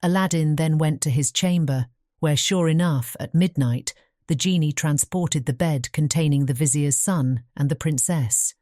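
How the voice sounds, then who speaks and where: A woman narrating a fairy tale audiobook in a measured, formal storytelling manner. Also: low-pitched, dark tone, no echo, studio quality.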